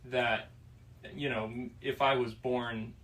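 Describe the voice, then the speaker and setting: A man in his twenties from Florida, musing slowly on faith and choice in a casual discussion. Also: mid-range voice, flat delivery, fairly bright tone. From Florida, USA. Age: 20s. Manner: slow, reflective, pauses mid-thought. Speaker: podcast guest. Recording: distant mic, faint room noise.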